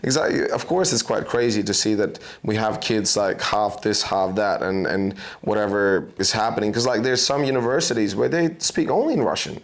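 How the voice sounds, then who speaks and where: Latvian guy in his 30s, low voice with gravel, chatty and loose. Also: normal speed, few pauses. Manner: loose, chatty, many fillers. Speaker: podcast host. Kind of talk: casual chat.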